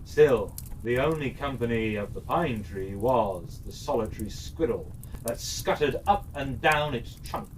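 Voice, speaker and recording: Slow, animated storyteller, slightly distant mic. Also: medium pitch, slight background noise. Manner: dramatic, deliberate phrasing. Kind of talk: expressive children's fairytale narration.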